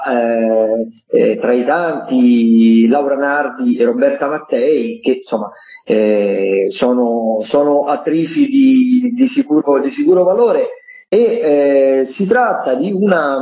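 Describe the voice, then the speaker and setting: Hesitant man critic, dark, echoey line. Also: medium pitch. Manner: hesitant, many fillers and restarts. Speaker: theatre critic. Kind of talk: radio theatre agenda. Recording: dull echoey remote line.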